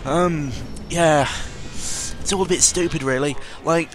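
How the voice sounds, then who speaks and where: A man's voice: a little high, steady tone, measured pace, noisy background. A man giving a casual, rambling audio-log monologue as host, sardonic and cynical, often interrupting himself and swearing freely.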